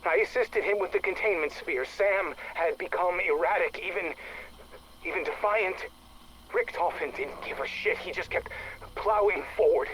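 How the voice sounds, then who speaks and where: An actor playing an anxious, bitter project specialist leaving a recorded testimony in a game, halting and stammering in agitation. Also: male voice, high voice, fairly bright tone. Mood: bitter, accusing. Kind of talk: recorded audio log monologue. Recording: noisy audio log tape.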